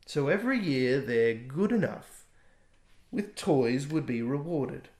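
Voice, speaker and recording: Animated man reading a rhyming storybook. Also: mid-range voice, slow. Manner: gentle, expressive read-aloud. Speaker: author reading his book. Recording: echoey room.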